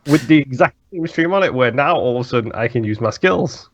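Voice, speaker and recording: A man's voice: medium pitch, expressive, brisk, lo-fi sound. A man co-hosting a podcast in casual chat, with a wry manner and the odd swear word. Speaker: podcast co-host.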